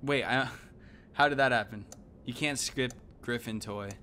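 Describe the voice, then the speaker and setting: Dude in his 20s streaming a game, thinking out loud, slangy, bit of rumbly noise behind. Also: measured pace. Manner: animated, exclaiming, thinking aloud. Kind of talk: casual live game commentary with slang. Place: indoor room at home.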